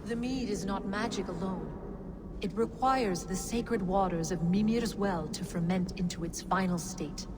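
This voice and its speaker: An actress playing a solemn, mysterious keeper of magical secrets in a video game, measured and grave. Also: female voice, medium pitch, noisy background, lightly acted. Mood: grave, knowing. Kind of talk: video game cutscene acting.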